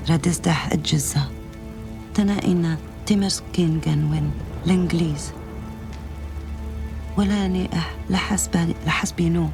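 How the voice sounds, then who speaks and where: Woman playing grave North African villager, very fast speech, lowish pitch, dramatic narration, music behind. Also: lightly acted. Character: grave local North African woman recounting wartime hardship.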